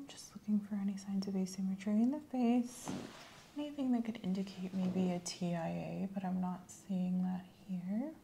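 A woman speaking in a gentle, measured, clinical way, often dropping to a whisper, in a soft ASMR medical exam roleplay. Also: medium pitch, gravelly. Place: small indoor room set up as an exam office. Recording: faint background noise.

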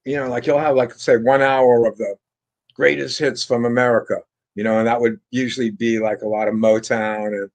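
An older man from France, speaking at an ordinary middle pitch, tells his stories in a loose, rambling way full of fillers and restarts. He comes through a video call from a home room lined with bookshelves. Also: level tone, brisk. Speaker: guest guitarist. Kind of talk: casual interview storytelling.

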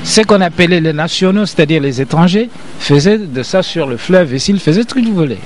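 A man's voice: fairly high pitch, expressive, fairly quick, slight background noise. An older man, a professor, talking as an interview guest in an emphatic, lecturing way with frequent repetitions.

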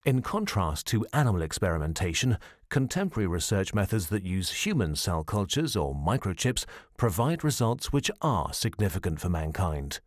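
A man reading scripted documentary narration in a measured, formal and serious way, as a studio voice-over. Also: low voice, very animated, dry recording.

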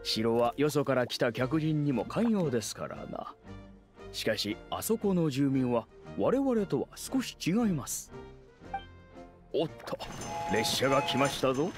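A man's voice: medium pitch, sing-song, measured pace. A man voicing a pompous, courtly elder forest guardian in a game, with archaic, dignified phrasing. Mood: courteous. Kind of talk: Japanese anime game acting. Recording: faint music behind.

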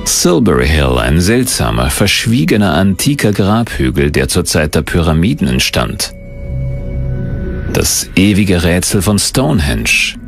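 A man reading scripted documentary narration in a measured, mysterious storytelling tone. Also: low-pitched, vocal fry.

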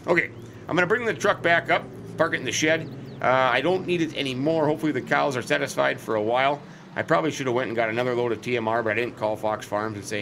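A middle-aged man with a slightly hoarse, scratchy voice, rambling folksy commentary as he plays a farming game. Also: medium pitch, bright, forceful tone. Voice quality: scratchy, cracky throat. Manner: rambling, folksy, chatty asides. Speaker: let's play host.

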